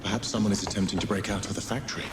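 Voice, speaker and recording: Man as low, quick-spoken creature companion. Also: fairly even intonation, natural voice. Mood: brooding, watchful. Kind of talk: cinematic video game acting. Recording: loud game noise behind voice.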